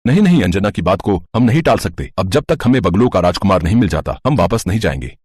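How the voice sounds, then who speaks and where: A man's voice: low voice, big pitch swings, quick pace, a little mellow, breathy edge, no room sound, studio quality, full character voice. A man doing earnest young prince brothers. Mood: determined. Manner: earnest, determined.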